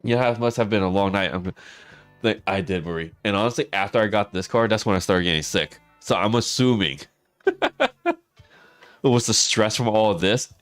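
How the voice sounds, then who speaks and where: A hoarse man, chatting casually with viewers on a livestream and laughing often. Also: fairly low pitch, sing-song, moderate pace, no echo, faint music behind. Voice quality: raspy. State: hoarse, losing his voice. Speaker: streamer.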